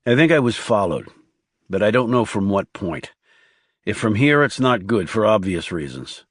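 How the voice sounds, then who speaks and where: A guarded, protective hitman, voiced by a man narrating in an audiobook thriller. He speaks in short, serious sentences. The voice is fairly low and very dry, with no room around it. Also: big pitch swings, moderate pace, breathy edge, no room sound. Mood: evasive. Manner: terse, serious. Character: terse, guarded male government assassin, protective. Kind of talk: audiobook character dialogue.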